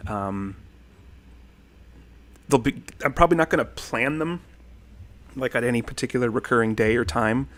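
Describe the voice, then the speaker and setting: A man's voice: medium pitch, moderate pace, pauses often, fairly bright tone. Male, thirties, easygoing stream host, rambling chat, mid-range voice. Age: 30s. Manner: rambling, friendly, many ums and thank-yous. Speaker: livestream host. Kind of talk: casual livestream chat reading comments.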